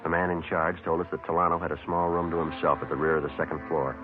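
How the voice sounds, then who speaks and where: An actor playing a terse police detective in a 1950s radio crime drama, clipped and deadpan. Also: male voice, low voice, fast talker, natural voice. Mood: matter-of-fact. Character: terse, businesslike police detective who narrates his case. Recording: muffled vintage radio recording, music behind.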